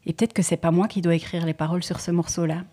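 Woman, singer, interview guest, low mellow voice, thoughtful, hesitant, many pauses. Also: fairly even intonation, breathy edge. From Belgium. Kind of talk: relaxed interview conversation.

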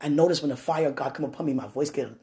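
Woman preacher, deep voice, animated testimony style, loud then hushed. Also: rapid-fire.

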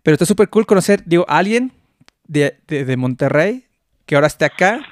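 A man's voice: fairly high pitch, animated, fairly quick, no room sound, clean studio sound. Chatty Mexican man hosting a podcast. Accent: Mexican Spanish. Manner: chatty, laughs often, many restarts. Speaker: podcast host. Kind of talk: casual interview chat.

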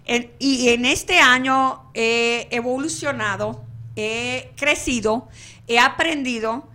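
Lady radio host, high bright voice, chatty and gushing. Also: takes it slowly. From Venezuela. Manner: chatty and effusive, laughs easily. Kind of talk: lively radio chat.

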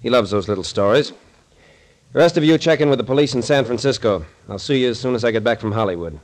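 An actor playing a tough, shrewd detective captain in a 1940s radio thriller, dry and commanding. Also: male voice, medium pitch, fast, lightly acted. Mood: brisk, commanding. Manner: matter-of-fact, dry, commanding.

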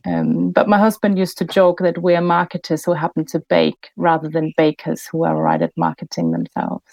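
A woman, a podcast guest talking in a relaxed way, often pausing with fillers and restarting sentences. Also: low-pitched, vocal fry, no room sound, poor audio. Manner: thoughtful, many fillers and restarts. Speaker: podcast guest, bakery business co-founder. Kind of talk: casual interview conversation.

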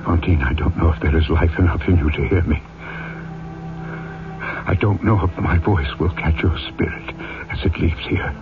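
An actor playing a grave, compassionate and resolute fugitive in an old-time radio drama, speaking solemnly, formally and earnestly. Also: male voice, deep voice, moderate pace, over music. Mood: solemn, grieving. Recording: tinny, narrow-band old radio recording.

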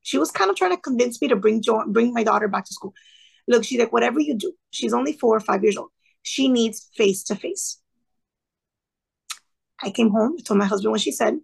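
A woman in her thirties with a fairly high voice and a gravelly edge, talking earnestly as an interview guest. She tells her story in a lively way and acts out the people she quotes. Her speech stays warm and sincere. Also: a little fast, rough recording. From Cuban family background. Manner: earnest, animated, quoting others in lively asides. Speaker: guest, former classroom teacher. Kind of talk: conversational storytelling.

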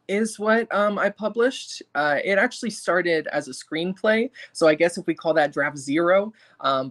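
A man in his mid-twenties, a guest author, answering interview questions in a friendly, casual way with frequent ums. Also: fairly high pitch. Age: 20s. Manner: friendly, many ums, lively swings in loudness.

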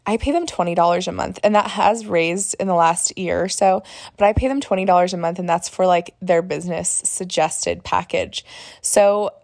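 Woman, slightly hoarse, lowish voice, chatty podcast host, dry close sound. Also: steady tone, normal speed, darkish tone, dry recording. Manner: chatty and upbeat, with fillers and self-corrections. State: hoarse, recovering from laryngitis. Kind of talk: casual solo podcast talk.

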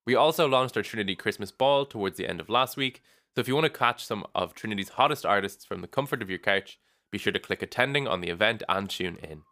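Young guy reading out student news, fairly low voice but really bright and forceful, upbeat, jumps up at each new item. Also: lively intonation, fast. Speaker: student union announcer. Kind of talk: scripted weekly bulletin reading.

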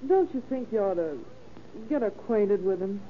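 Actress as long-suffering American housewife, reproachful and pleading, mid-pitched, vintage radio serial with phone-like tinny sound. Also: female voice, expressive, moderate pace, natural voice. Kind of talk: 1930s radio drama acting. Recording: tinny, noisy old radio recording.

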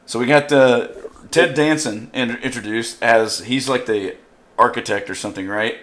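A man's voice: medium pitch, normal speed, a bit bright. A man casually recapping a show with friends, rambling with fillers and restarts. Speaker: show commentator.

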